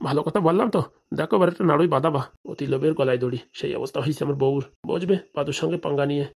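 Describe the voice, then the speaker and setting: A woman's voice: deep voice, very fast, darkish tone. A woman voicing a henpecked village husband who mutters and grumbles in a rural Bengali accent in a comedy cartoon. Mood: aggrieved warning.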